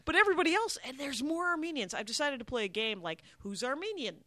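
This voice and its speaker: A woman's voice: sits high, big pitch swings, quick pace, sharp tone, no echo. A woman from Wisconsin, a stand-up comedian, chatting and joking in a rambling way, sometimes slipping into mock voices. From Wisconsin, USA. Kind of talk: casual comedic chat.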